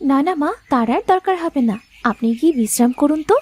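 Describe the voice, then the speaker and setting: A woman voicing a dutiful, chatty young daughter-in-law in a cartoon family drama, expressive and fondly chiding. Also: sits high, quick pace, a little hiss or hum.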